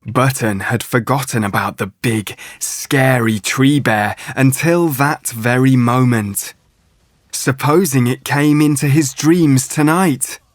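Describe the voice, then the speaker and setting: A man reads a children's bedtime story at a slow, measured pace. His delivery is gentle, with a mid-range pitch, and the recording is dry with music behind him. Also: dry recording.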